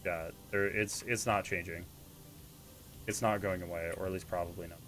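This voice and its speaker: A man's voice: sits low, steady tone, normal speed, a bit bright. Stuffy-voiced man streaming casually. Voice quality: stuffy, congested nasal tone. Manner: rambling, self-deprecating. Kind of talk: casual live-stream chat while gaming.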